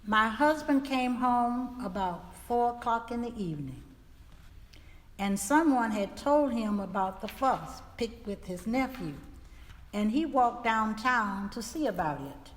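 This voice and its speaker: A woman's voice: on the high side, animated, a little slow. A woman playing a grave 1870s widow, giving solemn, plainspoken testimony in a dramatic reading. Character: grave 1870s widow testifying about her husband's killing. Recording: reverberant hall.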